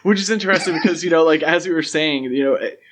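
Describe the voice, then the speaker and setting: A man's voice: fairly high pitch, quick pace, fairly bright tone. Man hosting a casual podcast. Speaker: podcast host. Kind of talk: casual film discussion podcast.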